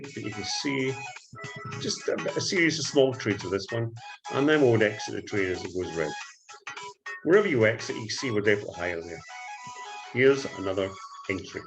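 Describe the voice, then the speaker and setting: A Scottish man giving a trading tutorial, talking up his strategy in an excited salesman patter and often calling out "ladies and gentlemen.". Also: on the low side, rough recording. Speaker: forex trading instructor. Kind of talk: screen-share trading tutorial.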